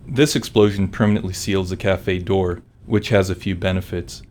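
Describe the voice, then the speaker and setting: A man's voice: low voice, fairly even intonation, normal speed, a little mellow, slight background noise. A man who develops games, delivering prepared commentary about design decisions. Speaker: game developer.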